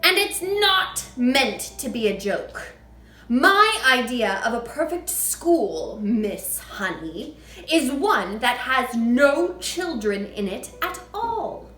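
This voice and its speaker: A woman's voice: big pitch swings, slow, a bit bright, heavily acted. A woman voicing a huge, child-hating headmistress who barks and rants, read aloud in an echoey room. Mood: menacing. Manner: booming, barking, ranting.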